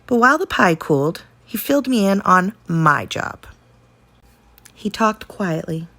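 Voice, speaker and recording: Expressive woman reading a storybook, slightly creaky. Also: medium pitch, unhurried, no echo. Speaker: teacher reading a storybook aloud. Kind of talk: expressive children's storybook read-aloud.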